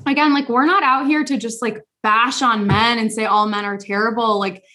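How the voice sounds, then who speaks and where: Chatty higher-voiced young woman host. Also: fairly even intonation, normal speed, pushed and bright. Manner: chatty, frequent "like" fillers. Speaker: podcast host. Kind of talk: casual chat.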